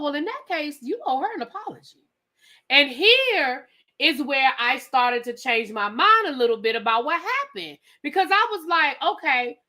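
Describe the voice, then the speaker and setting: A woman hosting a live TV recap, telling the story casually and acting out the quotes, with some swearing. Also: sits high. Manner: animated storytelling, acts out quotes; profane, conversational. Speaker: TV show reviewer.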